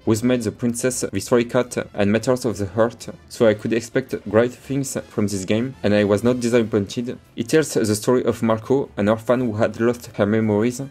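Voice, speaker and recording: French native guy reading out a game review, average pitch, bit of music behind him. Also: a little mellow. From French-speaking country. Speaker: video narrator, game reviewer. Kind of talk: scripted review narration. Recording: music under the voice. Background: background music.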